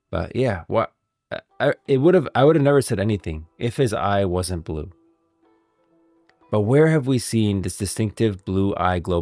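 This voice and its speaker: A man's voice: lowish voice, a little mellow, no room sound. A man hosting a casual livestream about game lore, talking informally and directly to his viewers. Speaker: livestream host.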